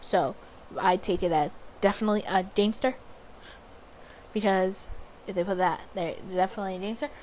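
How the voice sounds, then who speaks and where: A woman with a mid-pitched voice commentates on a story game over audible background noise. She is chatty and expressive, moving between reading narration aloud and thinking through her reactions. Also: normal speed, long pauses. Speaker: gaming video creator. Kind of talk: casual playthrough commentary mixed with reading story text aloud.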